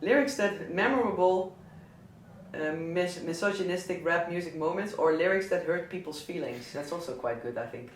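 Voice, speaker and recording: A man with a southern Dutch accent talking casually about favourite records, halting often with fillers and restarts. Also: high voice, slight background noise. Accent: Dutch, southern Brabant. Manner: hesitant, many fillers and restarts. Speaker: DJ and record selector. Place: indoor listening session. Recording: echoey room, mic at a distance.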